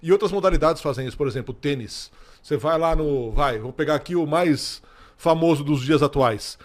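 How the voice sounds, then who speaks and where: A man's voice: fairly high pitch, moderate pace. A man, a sports commentator, explaining terms with examples in a casual podcast chat.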